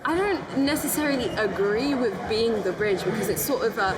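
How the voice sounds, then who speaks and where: A woman's voice: on the high side, fairly quick, over a noisy crowd, rough recording. A young woman whose first language is Arabic, chatting casually as a podcast guest, with lots of "like" fillers. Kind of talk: casual discussion. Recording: loud background noise.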